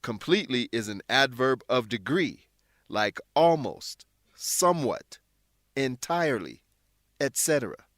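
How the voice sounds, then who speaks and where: A man with a mid-pitched voice presents a scripted English lesson. He explains terms in a deliberate, teacherly way. The recording is dry, with hardly any room sound. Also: sing-song, fairly bright tone, no echo. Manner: instructional, deliberate word-by-word explanations. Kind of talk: scripted educational narration.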